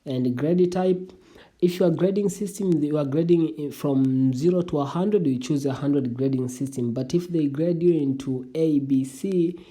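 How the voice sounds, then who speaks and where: A young adult speaker whose first language is Swahili, giving a halting, casual walkthrough of an online application, with many restarts and calling viewers "family". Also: medium pitch, warm tone. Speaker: tutorial vlogger.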